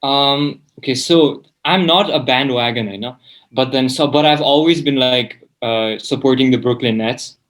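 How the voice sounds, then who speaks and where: A man's voice: medium pitch, normal speed, fairly bright tone, rough recording. A seventeen-year-old man, chatting casually as an interview guest in a hesitant, informal way, often saying "like". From Nepal. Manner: hesitant, informal, peppers speech with "like". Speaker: student guest.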